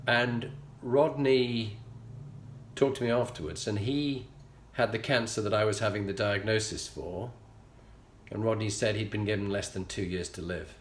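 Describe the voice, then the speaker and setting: A man's voice: lowish voice, slight background noise. Earnest Yorkshire man in an echoey room. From England. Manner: earnest, measured, pausing often. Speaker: leadership coach and show host. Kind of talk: reflective personal storytelling.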